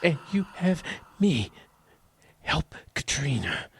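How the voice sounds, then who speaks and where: A man's voice: fairly high pitch, sing-song, slow pace, slight background noise, heavily acted. An actor playing a desperate, freezing man stranded in a blizzard, strained and pleading, in a horror audio drama. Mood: desperate, insistent.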